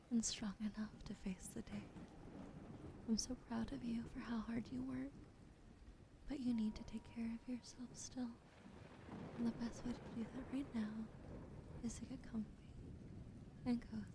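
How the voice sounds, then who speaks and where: A woman streaming ASMR in a soft, soothing whisper, greeting and thanking her chat. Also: fairly high pitch. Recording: noisy hiss behind the voice.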